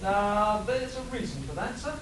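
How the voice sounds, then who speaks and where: Noisy echoey recording, guy as very polite deadpan barman, highish voice, radio comedy. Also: moderate pace. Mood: politely dry. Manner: courteous, dry. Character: polite, deadpan male servant type, gate guard or barman. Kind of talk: amateur live comedy radio play. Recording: roomy, distant, noisy live convention recording.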